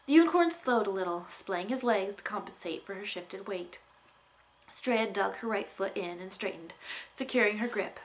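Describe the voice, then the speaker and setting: A woman narrating a young adult fantasy story as an author reading aloud, with expressive delivery. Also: mid-range voice, moderate pace, rough recording. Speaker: author reading from own novel.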